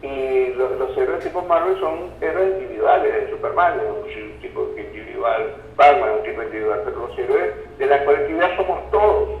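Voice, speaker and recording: A Venezuelan man speaking as an interview guest, explaining analytically in a halting, didactic way and checking that he is being followed. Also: medium pitch, animated. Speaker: interview guest, communication researcher. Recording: roomy, distant, dull sound, faint noise.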